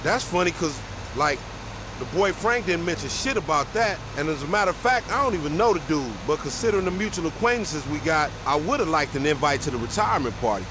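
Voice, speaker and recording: A man acts as a loudmouthed young hustler in a video game. His voice is high and swings all over, full of slangy, profane teasing, with vehicle noise behind it. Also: lively intonation, brisk, lightly acted. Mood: nosy, skeptical. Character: loudmouthed, wisecracking young street hustler, suspicious and excitable. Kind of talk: video game dialogue, bantering.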